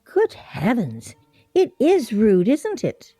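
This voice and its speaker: An airy, slow, high-voiced friend. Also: female voice, wildly swooping pitch, warm tone, no echo, clean studio sound, exaggerated voice. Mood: coy, curious. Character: airy, loyal, easily distracted female friend at game. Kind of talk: radio play acting.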